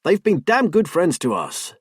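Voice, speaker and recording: A man's voice: expressive, normal speed, breathy edge, no room sound, studio quality. A man voicing a patient husband, hurt but firm and coaxing toward his wife. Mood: indignant. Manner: firm, coaxing, low-key.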